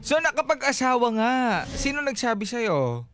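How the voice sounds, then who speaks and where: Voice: medium pitch, big pitch swings, moderate pace, a bit bright. A radio host in a casual advice chat, probing with short questions and echoing the answers. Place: radio studio. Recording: music bed behind voice.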